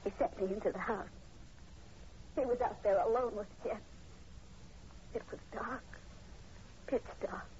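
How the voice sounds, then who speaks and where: An actress playing a frightened young woman in old radio horror, breathless, halting and pleading. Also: a little high, steady tone, a little mellow, natural voice. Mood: haunted dread. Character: terrified young woman, fearful of her brother. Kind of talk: 1940s radio horror acting. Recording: muffled, hissy old radio recording.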